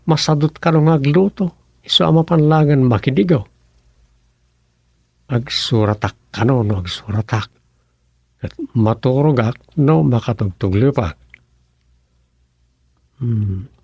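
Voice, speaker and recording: A man's voice: mid-range voice, expressive, slow pace, creaky, pauses often, dark tone, breathy edge, no echo, exaggerated voice. A man acting a grumbling, ambitious townsman in a radio comedy drama. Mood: grumbling, muttering. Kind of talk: radio serial comedy drama dialogue in Ilocano.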